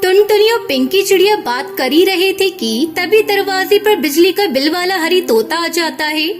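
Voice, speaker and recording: A woman's voice: very high voice, lively intonation, moderate pace, faint music behind. A woman narrating a scripted cartoon story in a storybook style.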